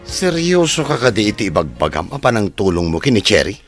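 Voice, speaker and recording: Low-voiced, teasing best friend. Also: male voice, sing-song, brisk, music in the background. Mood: questioning. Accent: northern Philippine. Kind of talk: radio drama acting.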